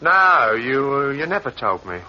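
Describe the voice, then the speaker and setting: An actor playing a nervous young thief in an old radio crime drama, jumpy and pleading. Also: male voice, mid-range voice, big pitch swings, measured pace, very noisy. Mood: flustered. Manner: jittery, pleading. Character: nervous young male thief turned killer. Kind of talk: 1950s radio drama acting. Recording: dull lo-fi old radio recording.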